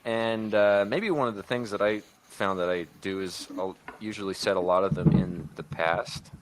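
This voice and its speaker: A man speaking as a podcast host in a casual discussion, thinking aloud with halting phrasing. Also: on the low side, background noise. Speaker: podcast host and writer-producer.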